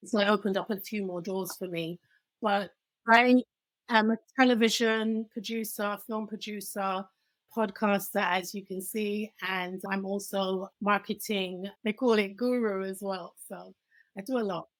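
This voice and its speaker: A woman's voice: mid-range voice, steady tone, unhurried, no echo. A woman with a British accent, raised in London, chatting energetically as a podcast guest and laughing easily. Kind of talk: casual interview chat.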